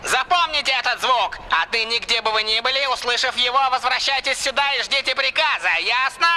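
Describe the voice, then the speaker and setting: A man's voice: extremely high pitch, a little fast, sharp tone, heavily acted. A man voicing a bossy, blustering middle-aged gang boss in a cartoon dub, pompous and commanding.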